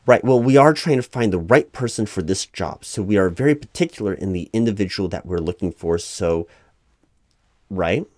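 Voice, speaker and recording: A man playing an earnest, easily flustered corporate recruiting manager in a one-sided ASMR roleplay, speaking aloud in a halting, officious, repetitive way. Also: low-pitched, expressive, a little mellow, no echo, clean studio sound. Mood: patient, careful. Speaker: job interviewer.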